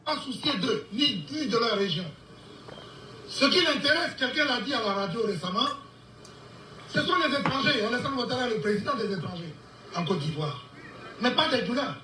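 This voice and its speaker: A man giving a forceful, declamatory public speech, heard in a replayed archive clip. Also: very high voice, expressive, normal speed, pauses often, noisy background. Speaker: speaker in a replayed political clip. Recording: echoey archive clip with crowd.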